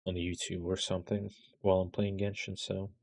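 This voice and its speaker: A man's voice: sits low, little pitch movement, brisk, vocal fry, darkish tone. A man chatting casually while streaming a game, groggy and hesitant, with a mumbly delivery. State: just waking up, groggy. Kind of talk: casual gameplay chat.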